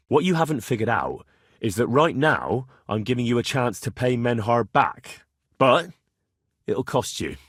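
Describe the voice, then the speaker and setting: A man's voice: medium pitch, slightly rough, dry recording, clean studio sound. A male actor playing a cheeky, blunt young swordsman who haggles cockily in a medieval video game. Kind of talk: video game character dialogue.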